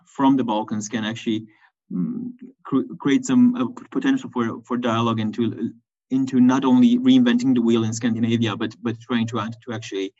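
A man's voice: mid-range voice, darkish tone. Guy giving a conference talk, stop-start, lots of restarts, dull lo-fi sound. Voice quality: halting, many restarts and fillers. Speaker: conference presenter. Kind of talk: academic presentation and answer. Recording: dull lo-fi recording.

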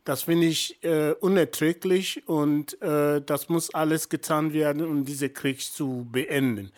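Man in his fifties from Nigeria, podcast guest; slow, hesitant delivery. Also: a little high, fairly even intonation, a little mellow. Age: 50s. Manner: halting, many fillers and restarts. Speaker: guest, journalist and activist. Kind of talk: podcast interview answers.

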